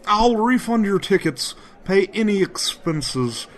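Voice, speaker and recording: Male actor as scheming magician, slow deliberate delivery, slightly high pitch, formal wording, comedic fantasy audio drama. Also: animated. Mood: smooth, formal. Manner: formal, measured, deliberate phrasing. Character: formal, calculating male magician offering a deal.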